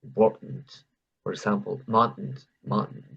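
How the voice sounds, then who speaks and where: Low-voiced Peruvian man, Spanish accent, lo-fi line. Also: little pitch movement, normal speed, gravelly, breathy edge. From Peru. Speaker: club member. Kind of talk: casual conversation practice.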